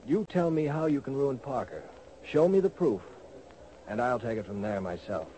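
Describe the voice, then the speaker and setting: An actor playing a courteous, scheming professor in a radio soap opera, speaking formally and deliberately. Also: male voice, medium pitch, expressive, background noise, natural voice. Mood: measured, scheming. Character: suave scheming male professor, courtly and measured. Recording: old radio recording, organ music behind.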